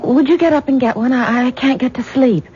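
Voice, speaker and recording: An actress playing an anxious, distraught young wife in a 1970s radio drama. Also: female voice, sits high, a little fast, warm tone, lightly acted. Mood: pleading, alarmed. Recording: dull 1970s radio recording, noisy.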